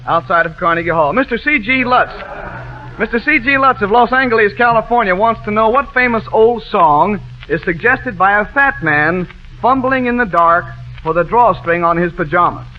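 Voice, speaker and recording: A man's voice: high-pitched, moderate pace. A man hosting a 1940s radio quiz show with chatty, teasing, wisecracking patter. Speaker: quiz show host, master of ceremonies. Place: radio studio show with band and audience. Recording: muffled 1940s radio broadcast, music behind.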